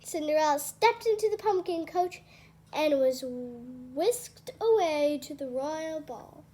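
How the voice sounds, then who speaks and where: A woman's voice: high-pitched, animated, takes it slowly, warm tone. A woman reading a children's storybook aloud as a storyteller.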